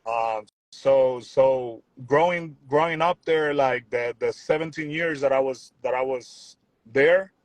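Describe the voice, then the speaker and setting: A man's voice: medium pitch, takes it slowly, dry recording, poor audio. Chill young guy from puerto rico, talks loose and repeats himself, dry close sound. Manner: relaxed, rambling, repeats words, says "you know what I'm saying". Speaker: musician guest. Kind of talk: casual interview chat.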